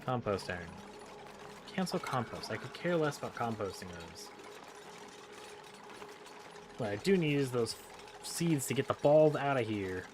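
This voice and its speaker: A man's voice: mid-range voice, big pitch swings, measured pace, pauses often, music in the background. A man giving casual gameplay commentary, playfully thinking aloud and breaking into exclamations. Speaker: gaming video host.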